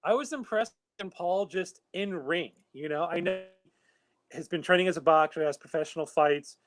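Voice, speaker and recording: A man co-hosting a casual chat, talking through his views in a measured, analytical way. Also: high-pitched, music in the background. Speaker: co-host. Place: home, video call.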